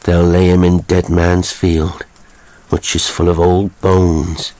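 A man's voice: low voice, monotone, slow, a little mellow, dry recording. A man voicing a shrewd old rustic cottage woman in a horror story, deferential but knowing, feigning stupidity. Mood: shrewd, ominous.

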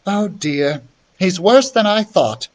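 A bold young sister from a storybook, as a man reads her. The voice is firm and plucky, raised in pitch and lively in its movement. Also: slow, distant mic. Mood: concerned.

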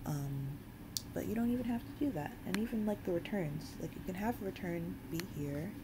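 A woman hosts a casual software tutorial, thinking aloud informally as she goes. Her voice sits low for a woman but moves around in an animated way. The recording has loud noise behind her. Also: normal speed. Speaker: tutorial host, game developer.

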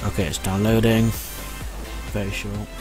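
A man with a slightly low voice walks through a tutorial step by step. He sounds casual and reassuring as he explains. Music plays clearly behind him. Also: level tone, normal speed. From United Kingdom. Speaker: tech tutorial host.

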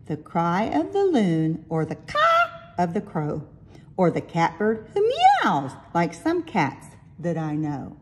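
A woman reading a rhyming picture book aloud with expressive, deliberate delivery. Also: mid-range voice. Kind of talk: storybook read-aloud in rhyming verse.

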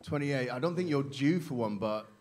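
A man hosting a live comedy show, teasing a contestant with quick comebacks on a small club stage. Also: mid-range voice, animated, a little mellow. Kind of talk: joking banter with a contestant.

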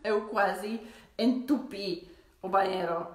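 A woman's voice: medium pitch, slow pace, echoey room. Polish-accented woman, chatty home vlog. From Poland. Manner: chatty, self-correcting, laughs between phrases. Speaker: vlogger. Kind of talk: casual chat to camera. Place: living room at home with fireplace.

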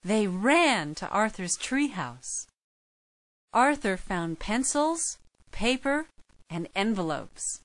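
A woman's voice: mid-range voice, big pitch swings, takes it slowly, no echo. A woman reading scripted narration for a children's storybook as its narrator.

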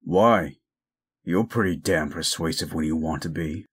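A man acts out a gruff lone werewolf for an audio drama, his voice very low and husky. He speaks slowly, with curt, sardonic bite and a jaded temper. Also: exaggerated voice. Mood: curt, bitter. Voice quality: gruff, gravelly. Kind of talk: audio roleplay drama acting.

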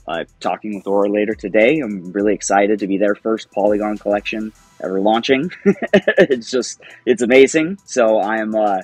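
A man's voice: on the low side, moderate pace, husky, dry recording, soft background music. A man in his thirties talking as an interview guest over a video call from home, rambling and upbeat, laughing often. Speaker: guest, project founder. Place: home, by a window. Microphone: webcam on video call.

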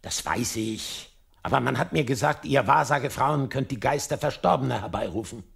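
A man's voice: mid-range voice, lively intonation, moderate pace, creaky, fairly bright tone, breathy edge, in a large hall, full character voice. A man playing a troubled, commanding king in a dramatic radio play, imperious and urgent. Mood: insistent.

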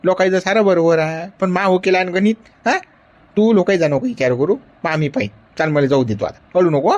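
A man's voice: high-pitched, lively intonation, brisk, a little mellow, muffled recording. An actor playing a stubborn, lovesick old grandfather who whines and insists in a Varhadi Marathi accent. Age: older. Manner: whiny, insistent pleading. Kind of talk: comedy sketch acting.

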